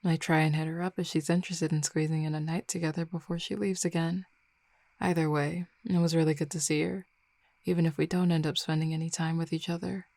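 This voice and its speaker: A flirty female werewolf, played by a woman in an intimate roleplay audio drama, speaking low with plenty of gravelly fry. She is easygoing and confident, teasing affectionately in a mellow, unhurried way. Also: level tone, no room sound. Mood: casual, chatty. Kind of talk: intimate roleplay audio drama, casual one-sided conversation.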